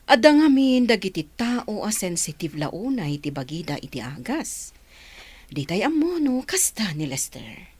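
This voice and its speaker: A woman playing a calm, reassuring doctor in an Ilocano radio drama, with an Ilocano accent. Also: medium pitch, measured pace, breathy edge, no room sound. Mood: explaining.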